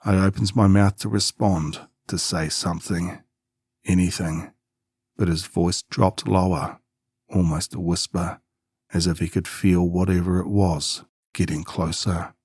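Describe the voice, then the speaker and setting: Deep, husky male horror narrator. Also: fairly even intonation, measured pace, a little mellow, no room sound. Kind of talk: scripted first-person creepypasta narration.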